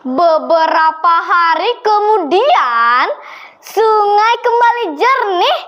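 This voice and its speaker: A young girl telling a children's story in a deliberate, dramatic and expressive way. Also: extremely high pitch, lo-fi sound. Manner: slow, deliberate, expressive delivery. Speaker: storytelling contest participant.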